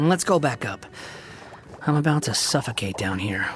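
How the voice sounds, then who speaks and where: A man's voice: medium pitch, expressive, normal speed, music in the background, close to an everyday voice. A man voicing an aloof, prickly young man in a video game, curt and dry but grudgingly kind. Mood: irritated, grudging.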